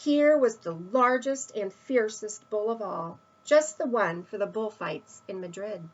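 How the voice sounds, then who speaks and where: Lady doing storytime for kids, really slow and expressive, kinda muffled lo-fi sound. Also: medium pitch. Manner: expressive, with emphatic stresses and asides to young listeners. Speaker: storyteller reading a picture book to children. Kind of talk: read-aloud children's storytelling.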